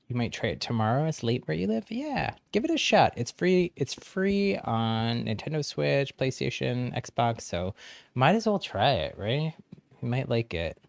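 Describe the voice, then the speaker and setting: A man's voice: mid-range voice, very animated, a little slow, creaky, no room sound. A man chatting casually on a game livestream, explaining things to newcomers in a friendly, encouraging way with frequent chuckles. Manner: chuckles often while talking. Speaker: game streamer.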